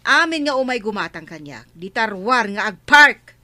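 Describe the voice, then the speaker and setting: A woman's voice: on the high side, big pitch swings, normal speed, sharp tone, no room sound, heavily acted. A woman with an Ilocano accent acting a bossy, scolding village store woman in a comedy drama. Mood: scolding, irritated.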